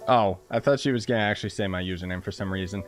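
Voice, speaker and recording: A man's voice: on the low side, racing. A young man giving casual, rambling live commentary while he plays a game and reacts to it. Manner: chatty, rambling, reacts with laughs. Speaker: game streamer. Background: game music.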